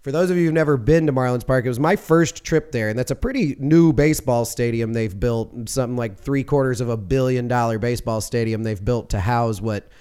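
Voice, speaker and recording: A man hosting a podcast, talking in a rambling, casual way, his voice a little hoarse from losing it. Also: mid-range voice, brisk, few pauses, a little mellow. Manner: rambling, conversational, with fillers and self-corrections. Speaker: podcast host. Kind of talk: casual monologue.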